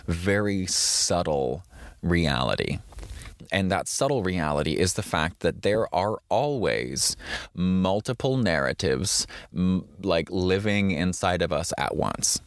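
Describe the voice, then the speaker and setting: A man's voice: deep voice, no room sound. A man from the Midwest hosting a solo podcast, talking in a casual, reflective way with fillers and restarts. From American Midwest. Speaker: podcast host.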